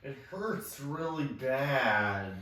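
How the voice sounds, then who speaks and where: A woman's voice: extremely low pitch, lively intonation, extremely slow, fairly bright tone, exaggerated voice. A woman playing an injured, helpless young orphan boy at a musical table read. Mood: pained, distressed. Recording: very echoey room, distant.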